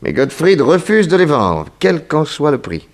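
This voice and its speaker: An actor playing a keen detective who explains things eagerly in a 1960s French radio drama. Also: male voice, a little high, big pitch swings, measured pace. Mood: intrigued, explanatory.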